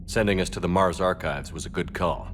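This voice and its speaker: An actor playing a resolute human military commander in a video game, speaking in a firm, measured way. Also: male voice, low voice, music in the background, close to an everyday voice. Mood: businesslike. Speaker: player character military commander.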